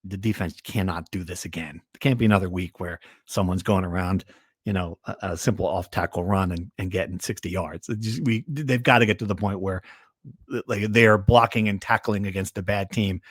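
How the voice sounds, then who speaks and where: A man's voice: deep voice, brisk, no room sound, studio quality. An American man hosting a podcast with casual, conversational sports talk.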